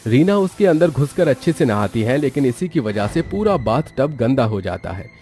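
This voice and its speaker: A man's voice: mid-range voice, sing-song, normal speed, warm tone, soft background music. A man narrating a scripted moral story.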